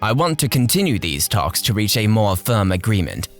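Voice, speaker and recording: Guy voicing a calm formal lord in a fandub, lowish voice, diplomatic, soft music behind. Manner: formal, measured. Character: composed, diplomatic lord and statesman. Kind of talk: fan dub character acting.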